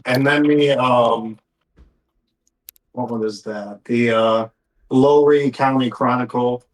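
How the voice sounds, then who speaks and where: Man, medium pitch, casual game master, informal table talk. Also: fairly even intonation, takes it slowly, low-quality recording.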